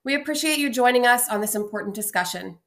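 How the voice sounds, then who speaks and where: A woman's voice: a little high, animated, fast. Woman giving a formal scripted welcome. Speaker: executive director, opening speaker. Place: indoor room.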